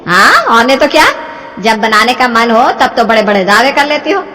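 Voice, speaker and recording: A woman voicing a sharp-tongued older mother-in-law who nags and taunts sarcastically in a moral-story cartoon. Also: a little high, animated, moderate pace. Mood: mocking. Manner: sarcastic, nagging. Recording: long hall-like reverb.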